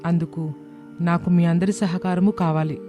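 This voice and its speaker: Man acting young daughter-in-law, raised pitch, resourceful, steady reassuring manner, cartoon story, faint music. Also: moderate pace, natural voice. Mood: determined. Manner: reassuring, determined. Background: background music.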